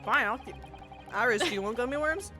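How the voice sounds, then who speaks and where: A woman's voice: on the high side, animated, a little fast. A woman co-hosting a game stream, chatting casually and jokily with lots of giggling. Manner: giggly, loud, jokey. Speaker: co-host. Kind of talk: casual let's-play chat. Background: game music.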